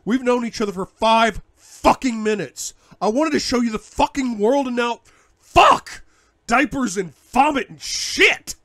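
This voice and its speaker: A man voicing a furious, controlling young man who snarls and shouts with heavy swearing in an audiobook. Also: sits low, steady tone, unhurried, hardly any pauses, no room sound, clean studio sound, voice pushed down.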